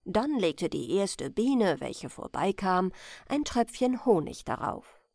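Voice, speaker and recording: A woman storyteller reading a bedtime fairy tale as scripted narration, with expressive, careful phrasing. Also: medium pitch, takes it slowly, creaky, no echo, clean studio sound.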